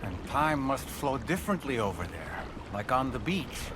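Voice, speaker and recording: A man's voice: very animated, moderate pace, natural voice. A man playing a thoughtful, curious companion in a video game, speaking in a reflective, articulate way. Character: thoughtful, curious male companion musing on strange worlds. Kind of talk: dramatic video game acting. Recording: loud rumbling ambient noise.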